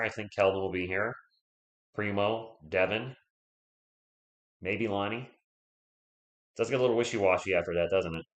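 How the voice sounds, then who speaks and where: A man doing casual play-by-play for his team, hoarse as his voice gives out. He chats with viewers between calls. The room around him has a noticeable echo. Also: medium pitch, a bit bright. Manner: chatty, reads viewer comments aloud, rooting for the Spurs. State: losing his voice. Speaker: live stream play-by-play host. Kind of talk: casual fan play-by-play commentary.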